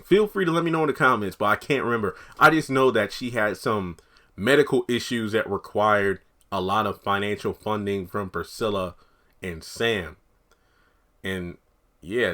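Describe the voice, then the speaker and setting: Raspy man, freshly woken, chatting. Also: deep voice, fairly bright tone. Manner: rambling, chatty, thinks aloud. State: just woken up. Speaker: TV commentary YouTuber. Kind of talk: casual speculative commentary.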